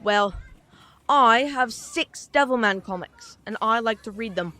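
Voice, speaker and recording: A woman's voice: a little high, a little slow, pushed and bright. A woman voicing a chatty, cheeky little British boy in an audio drama, with a frank, rambling, childlike manner. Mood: eager, frank. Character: chatty, cheeky, earnest little boy who loves comics.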